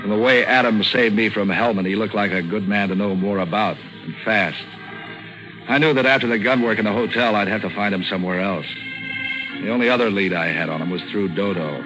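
A man playing a wry, deadpan, wisecracking hard-boiled detective in a 1940s radio noir drama. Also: on the low side, fairly quick, natural voice, rough recording. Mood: wry, guarded. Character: hard-boiled, wry male detective narrating his case. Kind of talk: 1940s radio noir acting and first-person narration. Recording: old 1940s radio recording, music behind.

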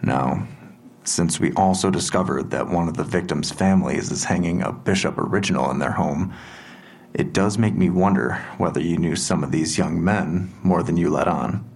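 An actor playing a methodical police detective in an audio drama, questioning in a deliberate, matter-of-fact way. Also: male voice, deep voice, vocal fry, a little mellow, husky, full character voice. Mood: grave, pressing. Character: methodical, probing male police detective, quietly pressing.

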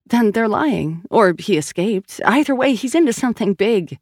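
Brief: a narrator voicing an agitated woman detective, audiobook, higher voice, dry close sound. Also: lively intonation, moderate pace, dry recording. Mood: insistent. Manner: insistent and agitated. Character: tense, stubborn woman police detective. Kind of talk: audiobook character voice.

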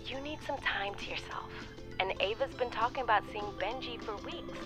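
A woman's voice: a little high, moderate pace, over music, lightly acted. A woman with an American accent playing a brash, breezy friend and mother in an audio drama, chatty and slangy. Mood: brisk, caring. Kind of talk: naturalistic audio drama acting.

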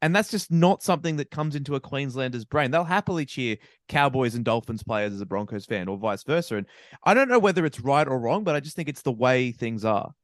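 A man with an Australian accent chatting casually as a podcast co-host, opinionated and animated, stammering when he gets excited. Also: mid-range voice, fairly quick, dry recording, studio quality. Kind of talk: casual sports chat.

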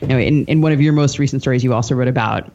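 A man's voice: medium pitch, racing, a little mellow, muffled recording. A man co-hosting a podcast, asking conversational interview questions with long, thoughtful lead-ins.

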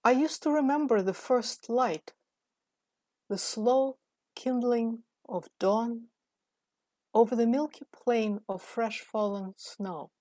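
Middle-aged woman, Russian native speaker, very slow deliberate poem reading, dry sound. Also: fairly high pitch, mellow, no echo. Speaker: poet. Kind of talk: poetry reading.

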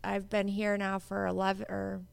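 A woman's voice: mid-range voice, monotone, normal speed, creaky, clean tone. A woman from Hawaii in her thirties, a guest in a casual interview, talking in a laid-back way with frequent fillers.

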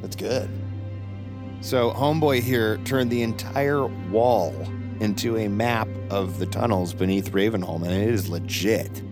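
Low-voiced, wisecracking male inmate played by an actor. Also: unhurried, music in the background, close to an everyday voice. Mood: excited, cocky. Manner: wisecracking, slangy, stammering when excited. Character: sarcastic, jittery young male inmate plotting escape. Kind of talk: casual conversational audio fiction acting.

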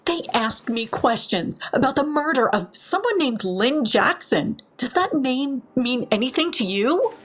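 High-voiced, rattled, defensive woman. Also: sing-song, unhurried, few pauses, husky, heavily acted. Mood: rattled, explaining. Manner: defensive, earnest, emphatic. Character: defensive, rattled professional end-of-life helper woman. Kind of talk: naturalistic audio drama acting.

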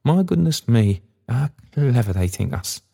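A man putting on an eager, high-lifted young daughter. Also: sing-song, a little slow, gravelly, slightly rough, full character voice. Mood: exultant, chatty. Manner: eager, bright, affectionate chatter. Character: cheerful, doting young working-class daughter. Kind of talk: storybook character dialogue.

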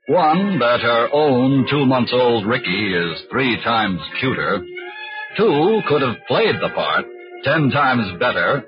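A man narrating a radio crime drama in a scripted 1940s style, with measured, emphatic phrasing. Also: medium pitch. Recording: dull old radio broadcast recording.

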